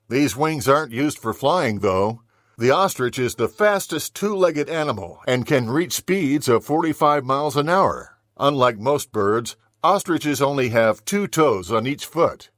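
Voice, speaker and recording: A man reads nature facts for young listeners in an average-pitched voice. His delivery is measured and informative, recorded very dry with no room sound. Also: a bit bright, no echo. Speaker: children's audiobook narrator. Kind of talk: scripted nature documentary-style narration for children.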